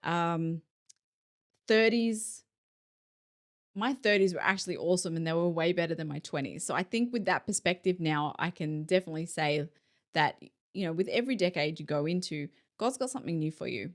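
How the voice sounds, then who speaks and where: Chatty woman in her forties, low voice. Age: 40s. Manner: chatty, laughs often, restarts and asides. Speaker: podcast host. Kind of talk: casual solo podcast talk.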